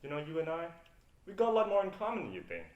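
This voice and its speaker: Man playing a lively, roguish adventurer, echoey. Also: a little high, fast talker, dull audio. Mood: teasing, flirtatious. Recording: echoey amateur stage play recording.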